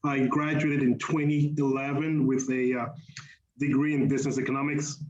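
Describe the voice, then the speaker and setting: A man's voice: medium pitch, little pitch movement, moderate pace, a little mellow, highs cut off. A man introducing himself on an alumni panel in a brief, businesslike way.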